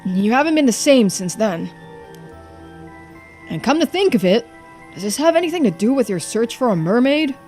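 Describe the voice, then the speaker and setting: A woman's voice: a little high, very animated, moderate pace, long pauses, a little mellow, soft background music. A woman voicing a frank, loyal young sidekick in a video game, with a casual, emphatic, questioning manner. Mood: concerned, probing.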